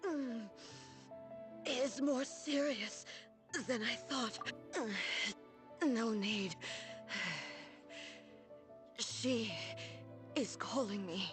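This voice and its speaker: A woman playing a dignified warrior deity and ruler in a video game, speaking in a formal, measured, stately way. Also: fairly high pitch. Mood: weak, strained. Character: solemn, dignified female warrior ruler deity, burdened by duty. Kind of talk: scripted video game voice acting. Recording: game dialogue with music behind.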